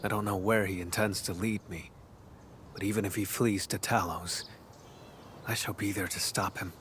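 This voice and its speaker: An actor playing a brooding, solemn male warrior in a video game, earnest and devoted, speaking in measured, formal, hushed and intimate phrases. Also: on the low side, steady tone, slight background noise, lightly acted. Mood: resolute. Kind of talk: video game story dialogue acting.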